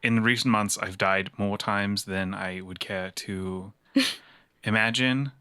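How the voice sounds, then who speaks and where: A man playing a consoling, remorseful investigator in an improvised cyberpunk tabletop game, earnest and halting. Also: lowish voice, steady tone, pushed and bright, clear, resonant tone, dry recording.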